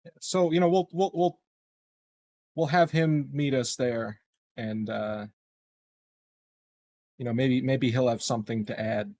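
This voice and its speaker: A man with a medium-pitched voice plays a tabletop roleplaying game. He talks casually and haltingly, questioning as he works through his ideas. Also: a little mellow, dry recording.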